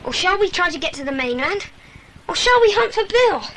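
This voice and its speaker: A woman's voice: extremely high pitch, big pitch swings, fairly quick, a bit bright, slight background noise, exaggerated voice, poor audio. A woman playing a bold, bossy boy who leads his friends in a children's audio drama, with a commanding manner. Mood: decisive. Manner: commanding, decisive.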